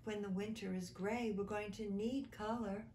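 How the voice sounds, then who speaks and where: A woman voicing a gentle, dreamy young male field mouse who is a shy poet, speaking softly and thoughtfully. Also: mid-range voice, fairly even intonation, normal speed, slight background noise, lightly acted. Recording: roomy echo.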